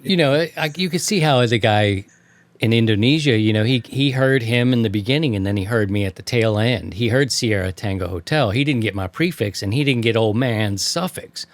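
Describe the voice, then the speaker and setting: A man's voice: mid-range voice, moderate pace, no room sound. An American man hosting a casual radio hobby talk show, telling stories in a slangy way with playful mock insults. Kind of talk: casual chat.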